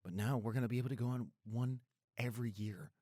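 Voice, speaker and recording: A man playing a caring, apologetic young boyfriend in an intimate roleplay audio, speaking earnestly and gently. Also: mid-range voice, steady tone, fast, no echo, studio quality, natural voice. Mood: earnest, reassuring. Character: apologetic, caring young boyfriend soothing his upset girlfriend.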